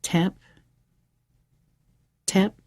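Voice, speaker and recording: A woman narrating a scripted guided meditation for children in a measured, soothing way with pauses. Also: darkish tone, no room sound, clean studio sound.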